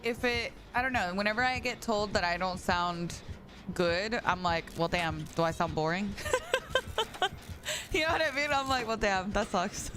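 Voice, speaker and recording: A woman's voice: medium pitch, expressive, normal speed. A tired young woman chatting casually on a live stream, greeting viewers by name with lots of "like" and "you know". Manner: chatty, reads and greets chat, frequent likes. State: tired from staying up late. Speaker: livestreamer.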